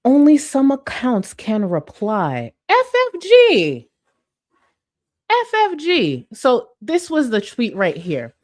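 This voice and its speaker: A woman's voice: on the high side, huge pitch swings, takes it slowly, darkish tone. A woman hosting a live commentary stream, reading chat and mocking her target with heavy sarcasm and swearing.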